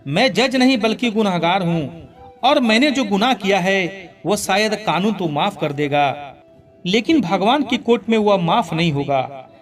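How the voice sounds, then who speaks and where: A man's voice: sits high, expressive, a little slow, faint music behind. A man voicing a guilt-stricken middle-aged judge in a moral story, solemn, reproachful and emotional. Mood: remorseful.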